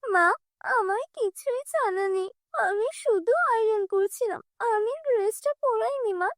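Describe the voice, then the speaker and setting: A woman's voice: extremely high pitch, measured pace, dry recording, clean studio sound, pitched above the performer's own voice. A woman voicing a meek, mistreated young stepdaughter in a cartoon fairy tale, earnest and plaintive. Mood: pleading.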